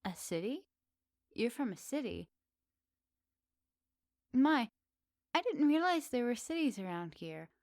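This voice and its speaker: A flirty witch of the woods, played by a woman, speaks straight to the listener in an ASMR roleplay. She is unhurried, teasing and gently doting, with quaint good manners. The sound is dry and intimate. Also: on the high side, sing-song, no echo, exaggerated voice. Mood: curious, teasing. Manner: teasing, gently doting, slightly old-fashioned politeness.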